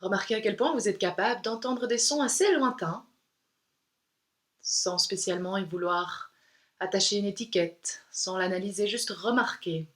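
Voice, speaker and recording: A woman yoga instructor leading a guided meditation in a calm, soothing, unhurried way with pauses. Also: medium pitch.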